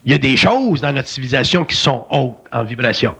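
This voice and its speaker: A man's voice: medium pitch, expressive, brisk, vocal fry, a bit bright, husky. A man with a Québécois French accent, giving emphatic, colloquial spiritual talk in a philosophical conversation. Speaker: spiritual speaker.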